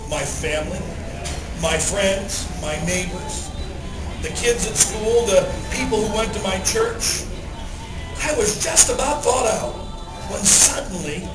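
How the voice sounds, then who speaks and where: A man telling a Christmas story as Santa in a dramatic read-aloud style. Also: high-pitched. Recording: roomy, distant mic, music underneath. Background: music.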